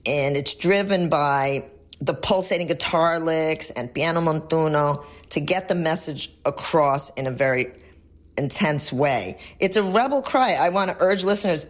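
A woman's voice: deep voice, animated, normal speed. A woman from Ecuador, a native Spanish speaker, introducing her song in an earnest, rousing way. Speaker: musician guest.

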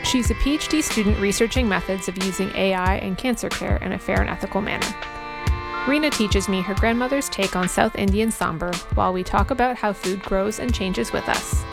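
A woman's voice: mid-range voice, brisk, vocal fry, music in the background. A woman host introducing her show and then interviewing a guest while cooking, with short affirmations. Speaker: podcast host. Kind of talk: scripted intro and casual interview.

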